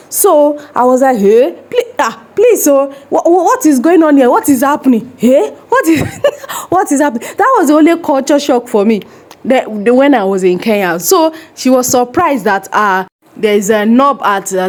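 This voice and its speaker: A young Nigerian woman with a Nigerian accent, chatting casually in her vlog and telling stories in Nigerian English. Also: a little high. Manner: lively storytelling with Nigerian English phrasing. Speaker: vlogger.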